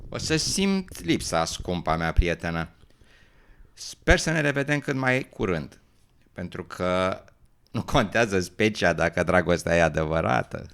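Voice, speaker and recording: A kind, earnest newt with dreams of travel, acted by a man in an educational radio drama. The voice sits low and has a gravelly creak. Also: animated, fairly bright tone, exaggerated voice. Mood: tender.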